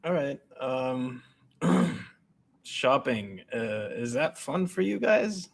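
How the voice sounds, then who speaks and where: A man reading the part of a blunt male coach who is awkward with women, shouting at times and mumbling at others, at a podcast table read. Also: mid-range voice, sing-song, very slow, gravelly, heavily acted.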